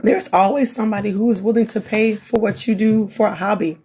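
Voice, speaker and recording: A woman's voice: medium pitch, fairly even intonation, fairly quick, warm tone, breathy edge, soft background music. A young woman who is losing her voice gives an earnest, repetitive pep talk on a live stream. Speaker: small business owner, crafter. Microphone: phone.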